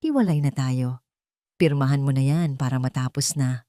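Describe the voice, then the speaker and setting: A woman's voice: rumbling low, normal speed, dark tone, dry recording. A rich, contemptuous husband in an audio melodrama played by a woman narrator. He is cold and cutting, heard in a dry recording. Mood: cold contempt. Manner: cutting, sneering.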